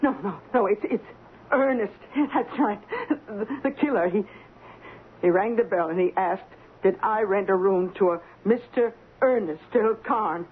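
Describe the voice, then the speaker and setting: A woman's voice: mid-range voice, unhurried, slightly rough. An actress playing a nervous, evasive landlady who stammers and protests, in a radio drama. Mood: flustered, pleading. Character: frightened, flustered landlady, evasive witness to a murder. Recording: tinny, noisy old radio broadcast.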